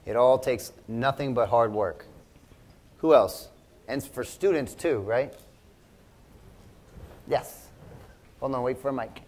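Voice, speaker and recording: A middle-aged man hosts a question session for children with an upbeat, encouraging manner. His voice sits at an ordinary middle pitch. He keeps repeating and rephrasing the kids' questions for the room. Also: slow pace, pauses often, darkish tone. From Chicago. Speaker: event moderator. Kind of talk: hosting a Q&A for children.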